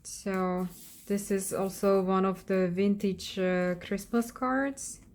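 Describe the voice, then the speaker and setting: A woman whose first language is Slovak, giving an unscripted flip-through of a handmade craft journal in a hesitant manner, often pausing and searching for words. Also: mid-range voice, steady tone, a little mellow. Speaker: craft vlogger.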